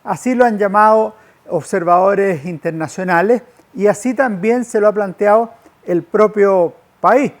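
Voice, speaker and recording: A man delivers a public lecture. His manner is didactic and measured. He uses formal phrasing as he explains things to his listeners. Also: high voice. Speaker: lecturer, historian. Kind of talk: public lecture on history, with slides.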